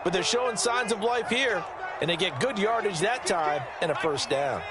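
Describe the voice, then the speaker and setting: A high-voiced football color analyst. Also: male voice, expressive, moderate pace, over a noisy crowd, heavy background noise. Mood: analyzing. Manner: explanatory, coach-like analysis.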